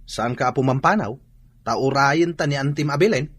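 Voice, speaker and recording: A man's voice: medium pitch, moderate pace, fairly bright tone, husky. A man acting a fussy, food-loving father in an Ilocano radio drama, speaking with an Ilocano accent. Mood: fussing.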